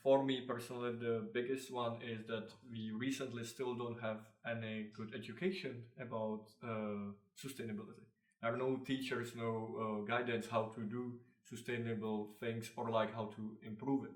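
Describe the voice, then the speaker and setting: A young man with a Czech accent, a designer answering interview questions casually, halting often with fillers and restarts. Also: mid-range voice, level tone, faint music behind. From Czech Republic. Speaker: designer, co-founder of a clothing brand. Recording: echoey room, mic at a distance.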